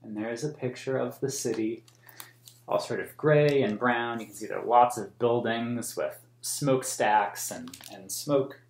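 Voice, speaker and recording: An American man in his thirties reading a picture book aloud to children in a gentle, explanatory way. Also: medium pitch, measured pace. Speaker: museum story time host. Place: small plain room indoors. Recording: roomy indoor echo.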